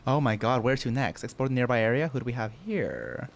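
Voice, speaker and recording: A woman streaming a video game, reacting casually with slangy, excitable exclamations. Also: very deep voice, fairly quick, gravelly, noisy background. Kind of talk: casual reactions while playing. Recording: game music behind the voice.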